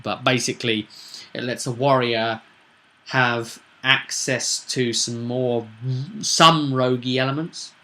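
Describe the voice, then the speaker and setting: A man's voice: on the low side, bright, forceful tone. Casual, rambling man explaining a tabletop game. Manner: conversational, rambling, with frequent asides to the listener. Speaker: tabletop roleplay vlog host.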